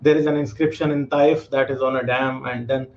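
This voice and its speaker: A man's voice: medium pitch, flat delivery, fairly quick. A man from Pakistan, calling in and explaining his views earnestly, with many fillers. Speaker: caller. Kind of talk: call-in discussion. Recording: muffled lo-fi call audio.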